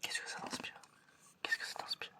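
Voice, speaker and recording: A man doing an ASMR roleplay in a breathy, unvoiced whisper, addressing the listener directly and often repeating himself. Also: normal speed, pushed and bright. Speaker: ASMR video creator playing an examiner.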